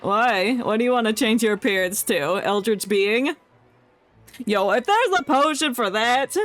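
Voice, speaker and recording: A woman's voice: on the high side, animated, a little slow. Jokey woman streamer over game music. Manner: jokey, sarcastic. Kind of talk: casual live commentary over a game.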